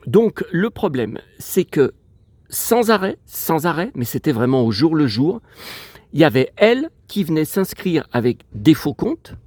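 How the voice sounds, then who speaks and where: A man's voice: medium pitch, darkish tone, no echo. A man with a stuffy, sniffly nose from a cold, rambling in a digressive, aggrieved way as he vlogs outdoors. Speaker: vlogger. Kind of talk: casual rambling vlog chat. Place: outdoors in a cemetery.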